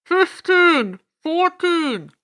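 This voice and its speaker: A booming, dramatic loudspeaker announcer for a fantasy story, acted by a woman. The voice is high and loud, projected as it counts down toward doom. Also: big pitch swings, extremely slow, exaggerated voice. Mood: dramatic countdown.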